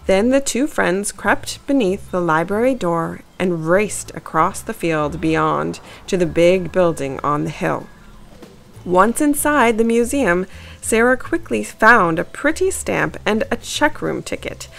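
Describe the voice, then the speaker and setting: A woman's voice: fairly low pitch, expressive, measured pace, creaky, fairly bright tone. A woman narrating a children's storybook, reading scripted text aloud.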